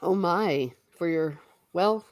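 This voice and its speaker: A woman hosting a casual livestream from home, talking in a lower register with a dry, close sound. She chats freely, laughs easily and reads viewer comments aloud as she goes. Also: slow, no room sound. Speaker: stream host. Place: her home.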